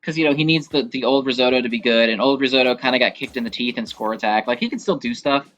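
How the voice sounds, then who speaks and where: Male gaming creator, mid-pitched voice, casual rambling fan commentary with mild swearing, game music underneath. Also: fast talker, a bit bright. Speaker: gaming video creator and host. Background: video game music.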